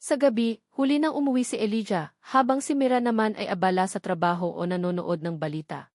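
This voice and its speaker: A woman's voice: medium pitch, a little fast, darkish tone, no room sound, clean studio sound. A woman reading scripted, dramatic love-story narration as a storyteller.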